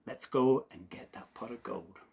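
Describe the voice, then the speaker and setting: A man's voice: mid-range voice, moderate pace, gravelly, a little mellow, breathy edge. A man playing a blunt, determined grown man who has caught a leprechaun. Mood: eager. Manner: blunt and insistent.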